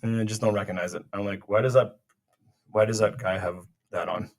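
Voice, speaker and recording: A man's voice: fairly low pitch, steady tone, brisk. A man hosting a casual podcast chat among friends, rambling, cursing freely and trailing off mid-thought. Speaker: podcast host.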